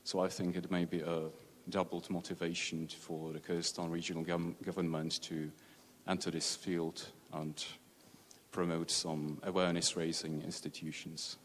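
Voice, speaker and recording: A man, a journalist asking a careful, halting question from the audience in a conference hall. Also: low-pitched, little pitch movement, a little hiss or hum. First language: Czech. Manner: careful, halting, many restarts. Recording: long reverb of a conference hall.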